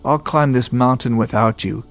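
A man voicing a stubborn, weakening male climber who speaks in a gruff, gasping way. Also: mid-range voice, moderate pace, lightly acted. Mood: defiant. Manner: weak, gasping, defiant.

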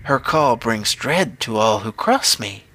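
A man voicing a sly, vengeful old witch in a storybook reading, with a slow, crooning delivery. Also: medium pitch, very animated, pushed and bright, exaggerated voice. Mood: menacing. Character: menacing old witch, slyly pleased, vengeful.

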